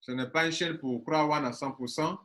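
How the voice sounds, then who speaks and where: A man's voice: a little high, steady tone, normal speed, fairly bright tone. A man in his forties, an online business coach talking casually to his viewers and stressing points by repeating phrases. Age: 40s.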